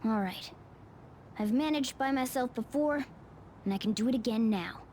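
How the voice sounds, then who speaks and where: A woman's voice: a little high, moderate pace, warm tone, a little hiss or hum, pitched above the performer's own voice, lightly acted. A woman voicing a small, proud male talking cat who sulks and bristles at others. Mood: sulky. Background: game music.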